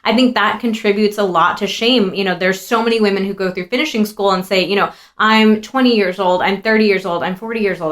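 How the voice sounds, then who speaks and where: Woman co-host, 30s, earnest and compassionate, casual podcast talk, mid pitch. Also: a bit bright. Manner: earnest, compassionate, many fillers. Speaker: podcast co-host, sex therapist.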